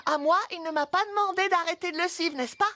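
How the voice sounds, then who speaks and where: A woman's voice: very high-pitched, fast, loud music behind the voice. A woman voicing a playful, cheeky little boy vehicle in a children's cartoon, boisterous and sure of himself. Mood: cheeky, excited. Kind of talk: French children's cartoon dub.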